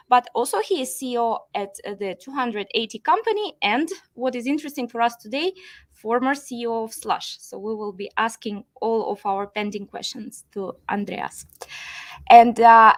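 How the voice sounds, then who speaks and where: A woman hosting a live broadcast question-and-answer session, introducing topics and putting questions to a guest. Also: fairly high pitch. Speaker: host of a live Q&A.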